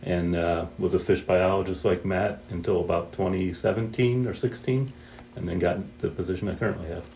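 Deep gravelly ohio guy, fish program boss, talks steady with lots of uhs. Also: slight background noise. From Northwest Ohio. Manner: measured, with frequent "uh" and restarts. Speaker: fisheries program administrator. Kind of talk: interview answers.

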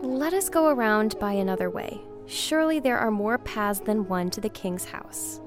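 A woman's voice: on the high side, normal speed, darkish tone, faint music behind, voice pushed up, lightly acted. A woman voicing a worried, doubtful woman traveler in a storybook, speaking hesitantly. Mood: anxious.

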